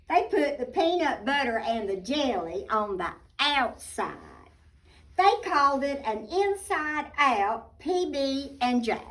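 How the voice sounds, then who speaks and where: A woman reads a picture book to children in a lively, swooping voice. She takes it very slowly, pausing for drama and adding little asides to her listeners. She sounds set back from the microphone. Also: a little high. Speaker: storybook reader. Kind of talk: animated read-aloud of a children's picture book. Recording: voice distant from the mic.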